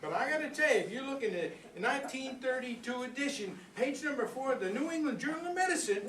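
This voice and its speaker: A man's voice: very high-pitched, lively intonation, fast, fairly bright tone, pitched above the performer's own voice. An older man playing a proud, philosophical old rooster in a folksy, rambling comic monologue. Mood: earnest, wondering. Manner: folksy, rambling, conversational storytelling with repeated phrases. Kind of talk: comic monologue performed live for an audience. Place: indoor workshop room before a group. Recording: echoey room, distant mic, background noise.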